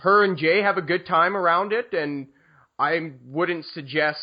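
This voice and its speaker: Young host, lively and joking. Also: male voice, fairly high pitch, measured pace. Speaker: podcast host. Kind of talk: casual chat. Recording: dull lo-fi sound.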